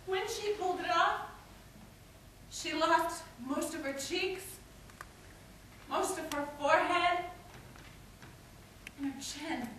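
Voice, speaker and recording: A young woman delivers an intense theatrical monologue in a high, bright voice. Her phrases are deliberate and broken by pauses. She is heard from a distance in a reverberant hall. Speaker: stage performer. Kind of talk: dramatic monologue recited on stage. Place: indoor stage performance in a theatre or hall. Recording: echoey hall, distant mic, faint noise.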